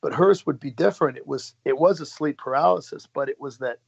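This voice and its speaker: A man with a gravelly, fry-laden voice talks loosely as a podcast guest, circling back on his words and trailing off mid-phrase. The audio is dull and lo-fi. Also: a little high. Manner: halting, restarts and trailing phrases with short laughs. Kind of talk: casual conversation.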